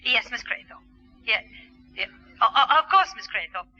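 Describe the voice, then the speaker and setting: A woman's voice: fairly high pitch, lively intonation, normal speed, bright, forceful tone, full character voice. A woman playing a polite, inquisitive middle-aged mother in a 1960s radio sitcom. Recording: muffled old radio recording.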